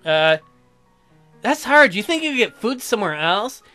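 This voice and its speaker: A woman's voice: medium pitch, big pitch swings, moderate pace, sharp tone, faint music behind. A woman hosting a let's play, casually reading game dialogue aloud and commenting in a joking, slangy way.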